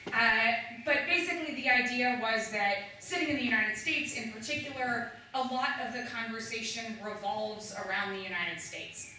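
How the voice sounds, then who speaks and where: Bright-voiced woman in an echoey room. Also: fairly high pitch, moderate pace, slight background noise. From Canada. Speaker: guest speaker, historian. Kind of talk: academic panel talk. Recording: echoey room, far from the mic.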